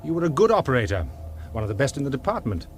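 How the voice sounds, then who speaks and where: Male actor as cynical department chief, deadpan wit, needling, varied intonation, radio drama, slight noise. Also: medium pitch, very fast, close to an everyday voice. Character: cool, dryly cynical male intelligence department official. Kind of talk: British radio drama acting.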